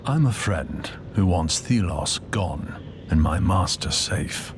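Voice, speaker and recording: An actor playing a cryptic, composed servant in a video game, with an enigmatic delivery. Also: male voice, low voice, animated, slow. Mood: mysterious, composed. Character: cryptic, composed male stranger, a loyal servant. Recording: clear background ambience noise.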